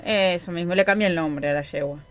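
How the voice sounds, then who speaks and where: A woman co-hosting a radio show, reading listener messages aloud in a measured way with hesitant fillers. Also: fairly low pitch. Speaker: radio co-host.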